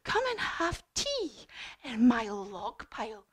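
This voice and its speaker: A woman voicing a slyly inviting storybook snake with drawn-out words. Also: a little high, very animated, breathy edge, full character voice. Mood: coaxing.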